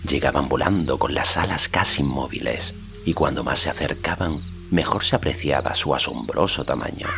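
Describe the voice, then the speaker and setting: Very deep-voiced male audiobook narrator. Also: normal speed, gravelly, a bit bright, slight background noise. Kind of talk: scripted narration of a fantasy novel.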